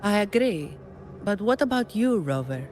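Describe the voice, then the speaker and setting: A woman's voice: medium pitch, big pitch swings, normal speed, darkish tone, faint music behind, natural voice. An actress playing a level-headed, protective woman in a video game, decisive and matter-of-fact.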